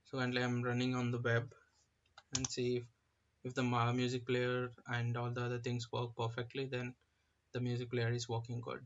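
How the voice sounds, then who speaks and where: A man presenting an informal screen-share software tutorial, explaining step by step in a halting, hesitant manner. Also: mid-range voice, completely flat delivery, dull audio.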